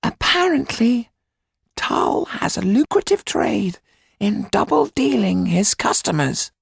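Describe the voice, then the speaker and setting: A man voicing a grave, deliberate informant in an audiobook, with a high, raspy, creaky voice. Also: very animated, no echo, studio quality, full character voice. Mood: grave, informing. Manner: slow, deliberate delivery.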